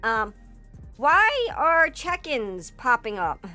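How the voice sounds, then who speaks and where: A hoarse woman streamer in a casual livestream chat, rambling with lots of fillers and swearing. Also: sits high, sing-song, slow pace, sharp tone. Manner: rambling, filler-heavy and profane, breaks into laughter. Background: faint background music.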